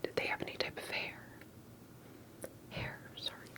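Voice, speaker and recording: Woman whispering an ASMR interview roleplay. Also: normal speed. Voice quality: soft breathy whisper. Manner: gentle, careful questioning with murmured confirmations.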